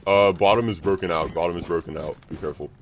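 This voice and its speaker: A man's voice: deep voice, steady tone, fast talker. A man playing a team shooter, giving casual callouts to teammates. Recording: noisy game voice chat, gameplay sounds. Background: video game sounds.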